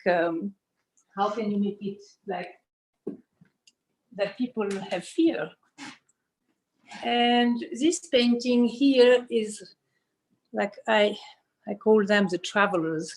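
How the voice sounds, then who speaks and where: A woman's voice: medium pitch, low-quality recording. A woman from Switzerland gives an informal explanatory studio tour as an artist and costume designer, often searching for words and adding "you know".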